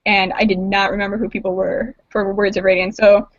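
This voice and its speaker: A woman's voice: mid-range voice, level tone, brisk, dry recording. A woman chatting casually about books as a panelist on a group video call, talkative and building her points by repetition. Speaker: panelist and awards judge. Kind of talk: casual book chat. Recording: dull, dry online call audio.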